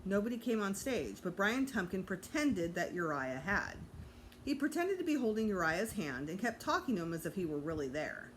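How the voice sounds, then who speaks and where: A woman, a teacher, reading a children's book aloud to students in an animated storytelling style. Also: mid-range voice, normal speed, low-quality recording. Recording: clear background noise.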